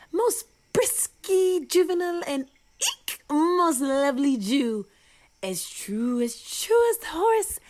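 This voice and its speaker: Very slow, high, hapless amateur player, acted by a woman. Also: a little mellow, exaggerated voice. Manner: stilted, declaiming verse. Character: timid, hapless male amateur actor playing a maiden. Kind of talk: Shakespearean audio drama.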